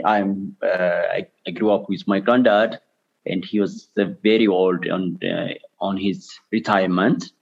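Low-voiced Ethiopian man, muffled line. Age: 30s. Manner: halting, with frequent pauses. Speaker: interview guest, charity director. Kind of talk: personal interview answers. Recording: dull lo-fi remote call.

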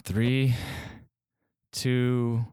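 A man's voice: mid-range voice, little pitch movement, extremely slow, a little mellow, faint music behind. A man hosting a podcast, joking and rambling through casual banter. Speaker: podcast host. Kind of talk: casual chat.

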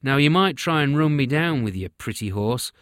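A man's voice: mid-range voice, animated, moderate pace, a bit bright, dry recording, studio quality, voice pushed up, lightly acted. A man voicing an arrogant, mocking male enemy captain in a storybook reading, drawing out his taunts. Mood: taunting. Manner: taunting, sneering.

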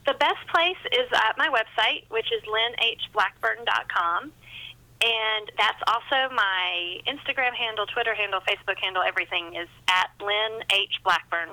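A woman guest author talks in a casual interview. Her voice is very bright and forward, at a middling pitch. She is chatty and a little self-deprecating. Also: moderate pace, low-quality recording.

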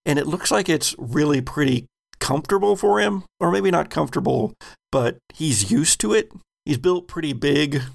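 A man narrating a tabletop game as game master, descriptive and deliberate. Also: fairly high pitch, expressive, creaky.

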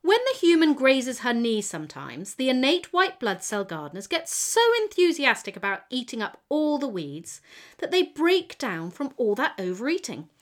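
A woman playing a friendly, proud T cell gardener who explains things patiently in a children's educational audio story. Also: high-pitched. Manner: explanatory, teacherly, encouraging.

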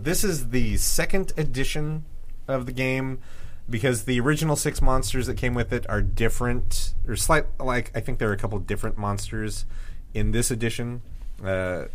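A man's voice: mid-range voice, steady tone, normal speed. A man co-hosting a podcast in casual chat, easygoing as he explains the rules of a board game. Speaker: podcast co-host.

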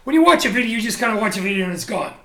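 A man being interviewed, talking casually and candidly about his career, animated and blunt with frequent restarts. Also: sits high, racing, creaky, roomy sound. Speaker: interview guest, photographer. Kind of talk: casual conversational storytelling.

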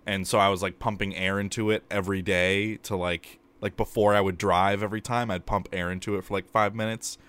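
A man's voice: lowish voice, flat delivery, a little fast, few pauses, a bit bright, clear, resonant tone. A man co-hosting a podcast in casual chat, telling rambling everyday stories with lots of ums and likes, and he laughs at himself. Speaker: podcast co-host.

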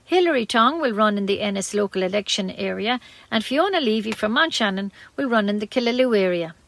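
A woman's voice: medium pitch, animated, a little fast, creaky, fairly bright tone, a little hiss or hum. A woman with an Irish accent reading scripted local news as a community radio newsreader.

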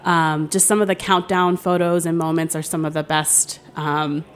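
Friendly woman guest, low voice. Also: flat delivery, normal speed, a little hiss or hum. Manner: friendly, rambling with fillers. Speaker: wedding planner guest. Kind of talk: casual interview talk. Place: hotel studio.

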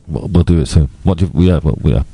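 A man's voice: rumbling low, quick pace, vocal fry, dark tone, slight background noise. A man in crude, sarcastic casual banter, swearing often.

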